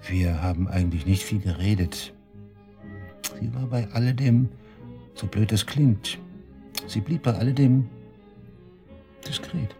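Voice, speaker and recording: Sneering, very deep-voiced murder suspect. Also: male voice, a little slow, pauses often, warm tone, faint music behind. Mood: cool, boastful. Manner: taunting, cynical, coarse colloquial talk. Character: cocky, sneering male murder suspect, shady club worker. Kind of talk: German radio drama acting, interrogation dialogue.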